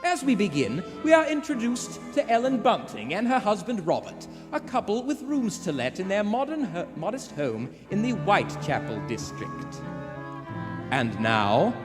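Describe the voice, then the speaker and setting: A man's voice: sits high, huge pitch swings, moderate pace. A man playing an ominous 1940s radio suspense host with a theatrical, dramatic delivery. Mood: grave, wry. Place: theater stage before live audience. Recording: echoey theater hall, music behind.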